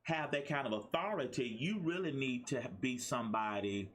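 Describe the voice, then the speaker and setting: A man's voice: mid-range voice, lively intonation, normal speed. A man hosting a talk show interview, encouraging his guest with frequent affirmations.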